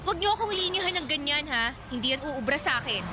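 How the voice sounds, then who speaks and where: A woman's voice: sits high, animated, fast, very noisy. A woman playing an indignant, embarrassed young daughter with a Filipino accent in a radio drama, scolding in exasperation. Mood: exasperated, scolding. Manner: sharp, exasperated. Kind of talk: Tagalog radio drama acting.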